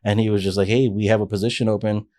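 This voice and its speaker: Low-voiced man telling his story. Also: little pitch movement, fast, a little mellow. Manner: earnest, rambling with frequent restarts. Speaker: podcast guest. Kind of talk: casual life-story interview.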